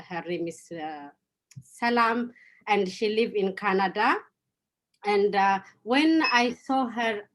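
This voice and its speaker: A woman from Ethiopia in her thirties, telling her own story on a video call, with many fillers and restarts. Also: medium pitch. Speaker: guest speaker. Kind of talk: personal story talk.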